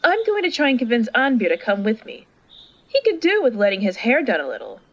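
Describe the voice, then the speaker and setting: An eager young fantasy woman, acted by a woman in an audio drama, curious and teasing. Her high voice swings freely in pitch, with dry, slightly muffled sound. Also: brisk, no echo, heavily acted. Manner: excitable, teasing. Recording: dull, dry close recording.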